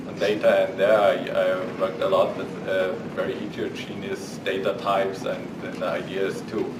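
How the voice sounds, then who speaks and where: German-accented male academic, halting, low pitch, distant mic. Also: flat delivery. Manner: halting, many fillers and restarts. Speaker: professor on a faculty panel. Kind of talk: panel introduction. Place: conference room. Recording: echoey room, far mic, noise.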